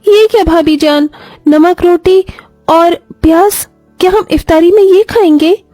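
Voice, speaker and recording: A naive teenage girl from a story, acted by a woman, speaking in a very high, mellow voice. She sounds eager and childlike, full of wistful pleading. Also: measured pace, no room sound, soft background music. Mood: dismayed. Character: young, naive, wistful hungry teenage sister-in-law.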